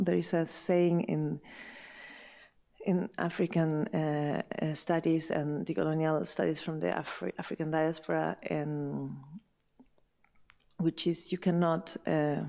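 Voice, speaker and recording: Woman, panel discussion, mellow dark tone, hesitant pacing. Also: low voice, little pitch movement, creaky. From Chile. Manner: thoughtful, halting, many fillers and restarts. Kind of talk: round table discussion.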